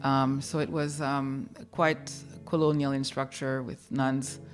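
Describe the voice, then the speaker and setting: A woman in her fifties tells her own story in a low, unhurried voice. She sounds reflective and candid as she talks, with soft music barely there underneath. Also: level tone. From born in New York, raised in Haiti. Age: 50s. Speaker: guest telling her migration story. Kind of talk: personal storytelling.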